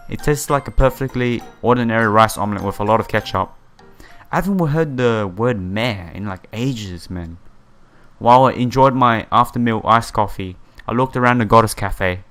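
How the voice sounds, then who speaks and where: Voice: mid-range voice, moderate pace, fairly bright tone. A gamer narrating a visual novel playthrough, reading text aloud and stumbling here and there, with casual slangy asides. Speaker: let's player. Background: background music.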